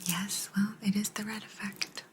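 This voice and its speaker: A woman voicing an aloof, secretive alien abductor in a soft, breathy, unhurried whisper for an ASMR sci-fi roleplay. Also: mid-range voice, level tone, heavy background noise, natural voice. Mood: patient, explaining. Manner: unhurried, hushed, personal attention. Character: aloof female alien abductor, calm, secretive, gently apologetic.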